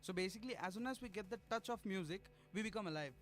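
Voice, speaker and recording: A man's voice: sits high, very fast, a bit bright, soft background music. A young man from Bangladesh, a student band's synth player, answering in a friendly way with light laughs and fillers. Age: young adult. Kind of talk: radio interview guest.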